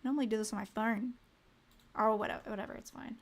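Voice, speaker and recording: A woman's voice: fairly high pitch, fairly even intonation, fast talker, gravelly. A young woman on a casual live stream, reading vocabulary words aloud one by one, muttering asides to herself and chatting cheerfully with viewers. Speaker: language learner streaming live.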